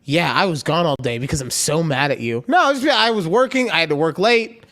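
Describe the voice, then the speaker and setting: Male podcast co-host, loose rambling delivery, slightly higher voice, casual chat. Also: animated, brisk, sharp tone. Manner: chatty, rambling, acts out quoted voices.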